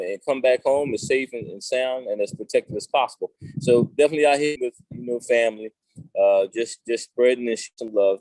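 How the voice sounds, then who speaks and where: A middle-aged man hosting a casual talk show over a video call, relaxed and chatty with lots of fillers. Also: medium pitch, no echo, poor audio. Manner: relaxed, conversational, many fillers. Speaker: show host.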